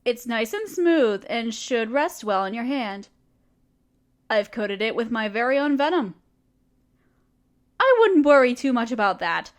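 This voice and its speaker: A gentle, motherly spider queen, acted by a woman in an audio roleplay. Her voice sits high and soft, patient and reassuring, with a doting, protective warmth in how she talks.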